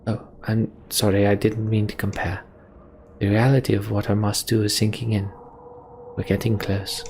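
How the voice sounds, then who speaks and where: A weary fantasy warrior in a game, read by a man, confessing gravely and haltingly. The voice is low and gravelly, weighed down with guilt. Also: level tone, noisy background, close to an everyday voice. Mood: regretful.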